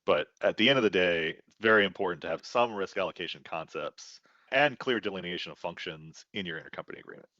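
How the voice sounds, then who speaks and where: Very deep-voiced man, bright and forceful. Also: fast talker, dry recording, muffled recording. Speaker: expert panelist on tax. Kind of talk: conversational expert commentary.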